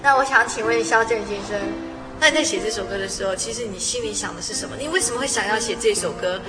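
A woman's voice: fairly high pitch, fast talker. A woman hosting a conversational radio interview, prompting her guest with questions and echoing the answers. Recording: music behind the voice. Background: music.

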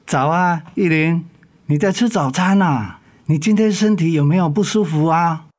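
A man playing a calm, caring hospital doctor in a radio drama, measured and professional. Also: on the high side, close to an everyday voice. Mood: kindly.

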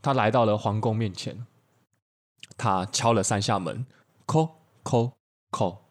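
A man's voice: fairly low pitch, unhurried. A man improvising comic fairy tales playfully as a podcast storyteller.

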